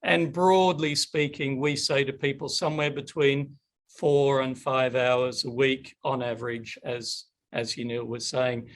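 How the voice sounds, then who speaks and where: A man's voice: medium pitch, flat delivery, measured pace. A middle-aged man hosting a webinar Q&A on a video call, chatty and upbeat. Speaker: webinar host. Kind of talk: casual webinar Q&A.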